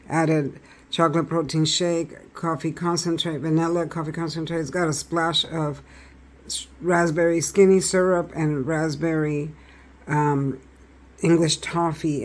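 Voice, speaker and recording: A man with a raspy voice doing a chatty tarot reading, talking straight to his viewers. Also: on the high side. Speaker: tarot reader.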